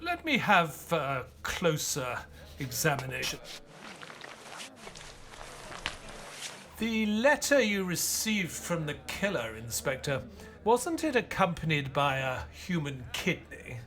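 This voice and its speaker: Audio drama sidekick: an earnest doctor, slow and careful, lively in pitch, music underneath. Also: male voice. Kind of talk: audio play acting and narration.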